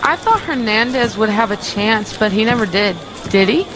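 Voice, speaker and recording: Actress as a lilting, fawning young admirer. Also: female voice, medium pitch, animated, moderate pace, background noise, natural voice. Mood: admiring. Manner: gushing, coy. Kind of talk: audio drama acting. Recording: music behind. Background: music.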